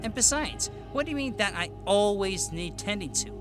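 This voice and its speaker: A man playing a cheeky, impulsive teenage boy swordsman in an anime-style audio drama, bantering expressively and quick to protest. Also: sits high, animated, loud music behind the voice. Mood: teasing, exasperated.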